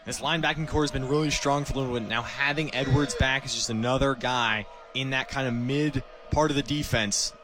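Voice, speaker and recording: Color analyst: American male with an average-pitched voice, explaining football plays conversationally on live radio. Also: steady tone, brisk.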